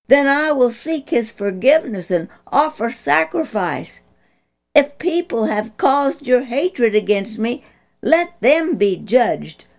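A woman's voice: fairly low pitch, a little mellow. A woman voicing an earnest young male hero, calling out and pleading in a storybook reading.

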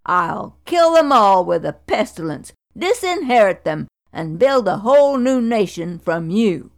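A woman's voice: medium pitch, very animated, measured pace. A woman reading a stern solemn God.

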